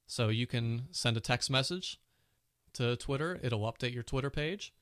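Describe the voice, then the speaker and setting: A man's voice: medium pitch, normal speed, a bit bright, no echo, studio quality. A man hosting his own casual tech podcast, chatty and full of fillers, with a cold and a sore throat. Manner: chatty, many "you know" fillers. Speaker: podcast host.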